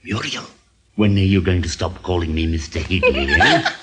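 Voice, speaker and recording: An actor playing a smooth, flirtatious, deceitful husband in a radio drama. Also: male voice, low-pitched, lively intonation, moderate pace, heavy background noise. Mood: playfully flirtatious. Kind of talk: old-time radio drama acting.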